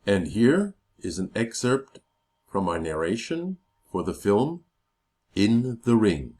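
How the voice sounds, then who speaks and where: A man in his fifties with a deep voice and clear pronunciation, introducing himself as a voiceover artist in a measured way with occasional hesitations. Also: big pitch swings, dark tone. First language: English. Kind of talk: self-introduction demo reel.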